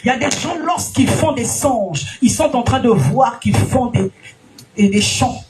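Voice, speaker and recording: A woman preacher delivering a sermon in an emphatic, sing-song manner, rising to shouts and calling out alleluia between questions to the congregation. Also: a little high, lively intonation, a little slow, faint music behind. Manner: frequent alleluias and direct questions to listeners. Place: church gathering.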